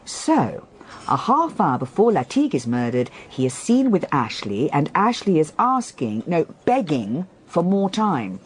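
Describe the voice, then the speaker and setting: A keen woman detective in a radio drama. Her voice sits on the low side and swings freely in pitch. She is impatient, quick-witted and always challenging. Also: very animated. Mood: eager, reasoning. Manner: eager, challenging, argumentative.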